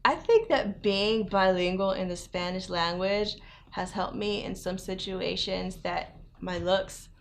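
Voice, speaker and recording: A woman's voice: mid-range voice, unhurried, slight background noise. A young woman from New York who grew up in South Florida, a model being interviewed, talking casually and saying "like" often. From New York, raised in South Florida. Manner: chatty, peppers speech with "like", laughs easily. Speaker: guest model.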